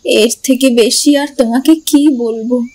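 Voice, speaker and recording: A woman's voice: on the high side, steady tone, darkish tone, rough recording. A woman playing a sorrowful, beseeching old mother in a dramatised audio story. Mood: pleading. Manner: slow, beseeching. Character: pleading, sorrowful old mother of a sick son. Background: background music.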